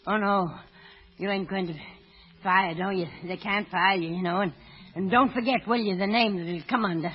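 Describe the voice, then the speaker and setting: An older actress playing a poor, anxious, doting old woman who sells apples, with halting, fretful delivery in a 1930s radio drama. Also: medium pitch, fairly even intonation, natural voice. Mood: anxious, pleading. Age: 60s or older. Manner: halting, fretful, muttering to herself. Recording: dull vintage radio recording.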